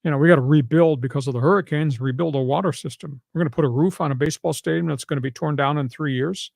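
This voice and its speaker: An older American man talks sports with strong opinions and folksy turns of phrase. His voice sits a little high with a gravelly creak, and the recording is dry and close. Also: fast talker, a little mellow, no room sound. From New York, United States. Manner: emphatic, folksy colloquial turns. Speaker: sports talk show host and analyst.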